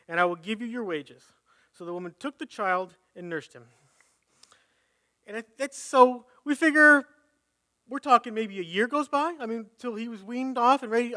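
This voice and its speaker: A man's voice: high voice, sharp tone. A man preaching a conversational sermon at a live church service, reading scripture and thinking aloud as he corrects himself.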